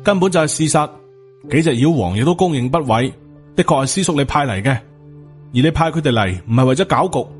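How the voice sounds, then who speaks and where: A man's voice: fairly high pitch, animated, brisk, lightly acted. Resentful young male disciple played by a male narrator, cold accusing manner, Hong Kong Cantonese accent, audiobook drama. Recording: music under the voice.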